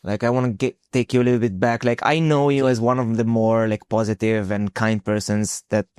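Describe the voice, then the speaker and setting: A man's voice: on the low side, steady tone, fairly quick, a little mellow, clean tone, no room sound, studio quality. A man hosting a podcast, chatting in a friendly, effusive way that is full of likes and you-knows. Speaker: podcast host. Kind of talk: casual podcast interview.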